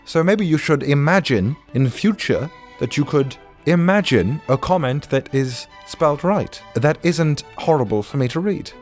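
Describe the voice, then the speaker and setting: A man's voice: fairly high pitch, expressive, a little slow, darkish tone, soft background music. A man voicing a haughty, sardonic butler who condescendingly roasts submissions in a theatrical, pompous way. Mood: sarcastic. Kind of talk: theatrical comic roast reading.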